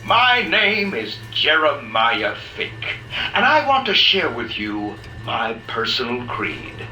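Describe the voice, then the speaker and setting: A man's voice: mid-range voice, wildly swooping pitch, sharp tone, heavily acted. Distant, echoing pompous male tycoon. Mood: grandiose. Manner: pompous, slow, declamatory. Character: pompous, showy male tycoon pitching his creed. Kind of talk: 1910s propaganda announcement in a game. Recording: echoey, distant announcement with faint music.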